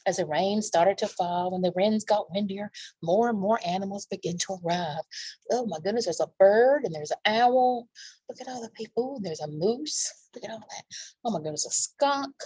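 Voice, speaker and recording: A woman with a husky voice reading a picture book aloud to young children, pausing for simple asides about the pictures. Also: medium pitch, warm tone, dry recording, low-quality recording. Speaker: children's library storytime reader.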